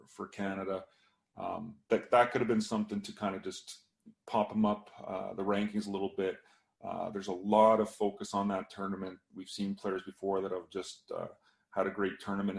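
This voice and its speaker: A man talking through a casual hockey podcast analysis as a scout, a bit hoarse from losing his voice, with many fillers. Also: lowish voice.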